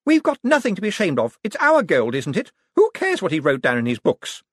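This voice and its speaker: A man's voice: high-pitched, huge pitch swings, fairly quick, breathy edge, dry recording, studio quality, voice pushed up, heavily acted. A man voicing a vain, petulant rich woman in an audiobook, chattering in a gushing, dismissive, self-righteous manner. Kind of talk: audiobook character acting.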